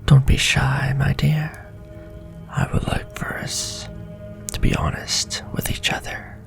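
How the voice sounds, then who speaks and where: A man voicing a sly, sadistic warlock villain in a flirtatious ASMR fantasy roleplay, with a slow, teasing, half-whispered delivery. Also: mid-range voice, level tone, vocal fry, music in the background. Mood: smug teasing.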